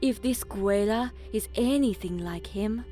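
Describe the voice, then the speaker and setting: A woman plays a shrewd alien military commander with a medium-pitched voice. She speaks slowly and formally, in clipped, guarded phrases. It is science fiction audio drama, with music running behind her. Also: mellow, close to an everyday voice. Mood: guarded, suspicious. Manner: measured, terse, formal.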